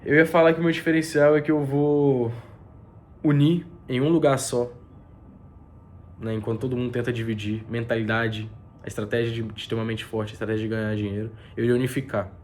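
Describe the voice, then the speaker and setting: A man's voice: medium pitch, little pitch movement, brisk, darkish tone, slight background noise. A man speaking as a business mentor in a casual, earnest motivational talk mixing faith and money, his voice stuffy from a heavy cold, with many fillers and restarts. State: nasal and congested from a cold. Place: spot with a view over the city.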